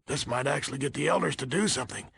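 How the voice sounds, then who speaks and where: An actor playing a gruff, weary older leader of a military order in a video game, with an American accent, a raspy voice and blunt, folksy grumbling. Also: male voice, medium pitch, rapid-fire, fairly bright tone. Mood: impatient. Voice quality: gravelly, raspy. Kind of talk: video game character dialogue.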